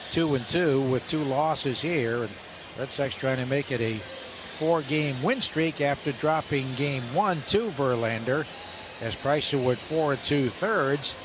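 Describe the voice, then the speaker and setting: An older man calling a baseball game on radio, a play-by-play announcer setting up the game in a measured, informative way. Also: medium pitch, noisy background. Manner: measured, informative pregame setup. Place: press box at a stadium.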